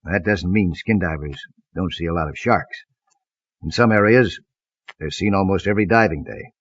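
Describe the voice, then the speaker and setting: A man with a husky voice reading scripted documentary narration. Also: deep voice, normal speed, no room sound, distant mic, highs cut off.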